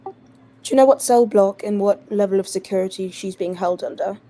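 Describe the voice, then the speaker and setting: Female tabletop player, dark warm timbre, persuasive and logical. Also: medium pitch, brisk. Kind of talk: in-character tabletop role-play discussion.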